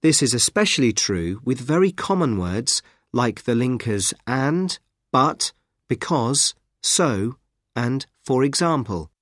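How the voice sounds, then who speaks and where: A man explaining pronunciation in a slow, careful, scripted delivery for a coursebook audio. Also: mid-range voice, big pitch swings, a bit bright, no room sound. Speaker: audio narrator. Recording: dry studio recording.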